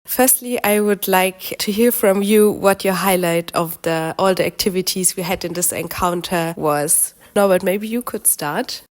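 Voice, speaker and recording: A woman hosting a podcast, polite and measured, her voice a little hoarse from a cold as she introduces the episode and asks questions. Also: fairly low pitch. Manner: polite, measured, careful phrasing. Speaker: podcast host and interviewer.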